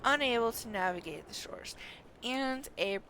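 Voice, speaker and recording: A woman's voice: fairly high pitch, lively intonation, moderate pace, bright, forceful tone. A woman reading game quest dialogue as a blunt, foreboding seafarer, with dialect words and doom-laden drama. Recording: clearly noisy background.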